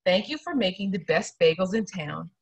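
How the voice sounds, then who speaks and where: Woman reading as an earnest, husky little boy. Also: medium pitch, animated, moderate pace. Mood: reverent.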